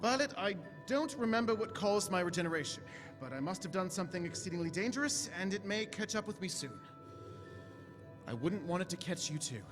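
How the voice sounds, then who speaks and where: A man playing a high-voiced, grandiose time-traveller. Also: expressive, brisk. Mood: warm, encouraging. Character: eccentric, commanding, kindly male time-travelling alien adventurer. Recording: echoey stage hall with music.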